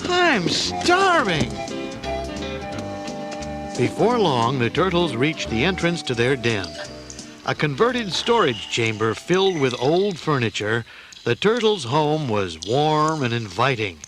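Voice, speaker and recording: A man narrating a scripted children's adventure story in a dramatic, deliberate storytelling manner. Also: mid-range voice, sing-song. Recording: loud music under the voice.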